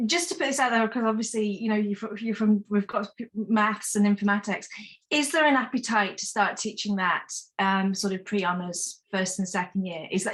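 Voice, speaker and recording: A woman facilitating an online workshop discussion, lively and questioning, with quick restarts. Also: mid-range voice.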